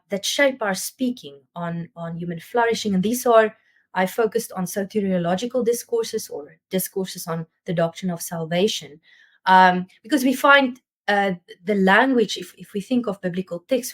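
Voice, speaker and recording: This is a woman with a South African Afrikaans accent whose first language is Afrikaans, delivering an academic seminar talk on theology. Her voice sits at a middling pitch and she comes across as thoughtful, often pausing mid-phrase as she searches for words. The talk is heard through a video call. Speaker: theology lecturer.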